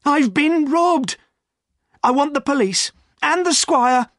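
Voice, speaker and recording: A man's voice: mid-range voice, big pitch swings, drawn-out words, husky, no echo, studio quality, full character voice. A man voicing a distressed village weaver in a storybook, crying out and pleading. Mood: frantic. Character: frantic, miserable robbed village weaver, male.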